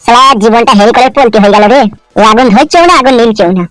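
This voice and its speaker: A man's voice: very high-pitched, fast talker, fairly bright tone, no echo, low-quality recording. A man voicing a homeless, self-pitying, foul-mouthed village man in a comedy cartoon, whining and ranting in a rural West Bengal accent. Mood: despairing. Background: music.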